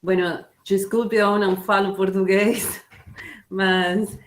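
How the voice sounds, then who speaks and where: A woman from Uruguay with a Uruguayan Spanish accent, a schoolteacher presenting her work slowly and carefully at an online book launch. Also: medium pitch, level tone. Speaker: guest teacher.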